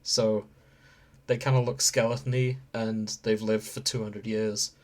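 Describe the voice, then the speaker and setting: A podcast host with an androgynous voice of medium pitch chats casually. The delivery is explanatory and conversational. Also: flat delivery, measured pace, darkish tone. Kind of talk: casual chat.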